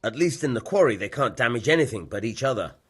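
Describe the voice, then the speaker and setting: An actor playing a gruff, dismissive medieval town bailiff in a video game, husky and matter-of-fact. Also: male voice, medium pitch, expressive, fast talker, fairly bright tone. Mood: defensive, dismissive. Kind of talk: video game dialogue acting.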